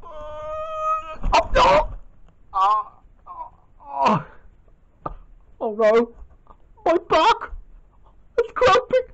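A man doing a squeaky wailing teddy bear. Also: very animated, very slow, pauses often, fairly bright tone, slight background noise, full character voice. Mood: panicked wailing. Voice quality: high squeaky puppet voice. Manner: wailing, dramatic. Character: young male teddy bear, excitable. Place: bedroom indoors. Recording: lo-fi webcam audio.

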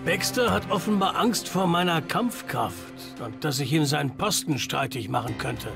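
An actor voicing a gruff, foul-mouthed, betrayed but loyal enforcer in a video game, with a gravelly, blunt delivery. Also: male voice, on the high side, animated, moderate pace. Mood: bitter, resigned. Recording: background music. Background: game music.

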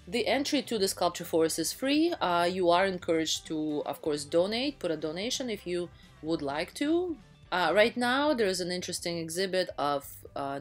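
A chatty, enthusiastic woman in her thirties talks through a travel vlog in an easy voice-over. Her voice sits a little low, and she often stops to restart a sentence. Soft music plays faintly behind her. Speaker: travel vlogger. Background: faint background music.

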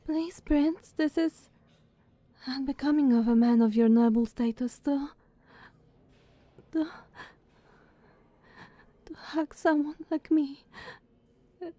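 A woman acts out a loyal female knight for an ASMR roleplay. She is mellow and unhurried, with formal, breathy, hesitant phrasing that carries guilt and tenderness. The sound is close and dry. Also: sits high, no room sound. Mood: flustered. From Slavic country. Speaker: audio roleplay performer.

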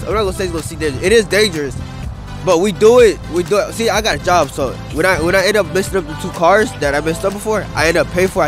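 A young man giving a casual outdoor interview on a grassy field, talking loosely with lots of slang, into a handheld mic. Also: high-pitched. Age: young adult. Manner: loose, rambling, slangy, lots of "bro" and "dude". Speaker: interviewee, wheelie rider. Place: open grass field outdoors by a city skyline. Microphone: handheld mic held by interviewer. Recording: music playing underneath. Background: background music.

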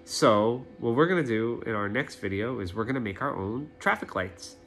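Man reading a children's storybook, lively pitch. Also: normal speed, soft background music. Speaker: read-aloud storyteller.

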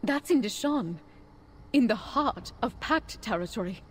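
A woman playing an earnest, caring ally in a video game, speaking in a measured, sincere way. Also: on the high side, lively intonation, a little hiss or hum. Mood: concerned. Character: earnest, caring female ally planning a rescue. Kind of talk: video game character acting.

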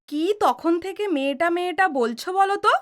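An outspoken young daughter in an audio drama. Her high voice swings widely as she argues, cheeky and impatient with her elders. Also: expressive, normal speed. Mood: argumentative. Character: outspoken, teasing young daughter, a Gen Z office worker. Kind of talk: Bengali audio drama acting.

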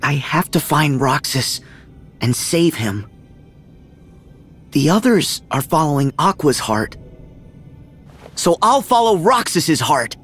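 A man's voice: a little high, very animated, slow, pauses often, fairly bright tone, soft background music, exaggerated voice. A man playing an upbeat, sincere teenage boy hero in a video game, with a youthful voice. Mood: earnest, determined.

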